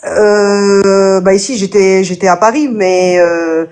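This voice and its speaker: Casual, chatty woman, mid-pitch, everyday voice. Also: fairly even intonation, slow pace, a little mellow. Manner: chatty, with fillers like "tu vois". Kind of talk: casual conversation.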